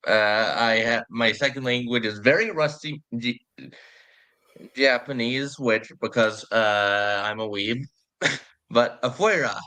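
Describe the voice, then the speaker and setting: A man's voice: medium pitch, takes it slowly, sharp tone. A man from California hosting a political stream, talking casually and restarting his sentences. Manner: conversational, restarts mid-sentence. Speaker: stream host. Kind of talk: political commentary chat.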